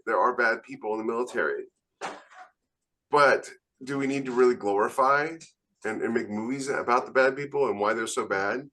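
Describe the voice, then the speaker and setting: A man's voice: mid-range voice, fairly bright tone. A man co-hosting a podcast, talking casually but emphatically as he argues his opinions at length. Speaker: podcast co-host and military sci-fi author. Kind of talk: casual opinionated panel discussion.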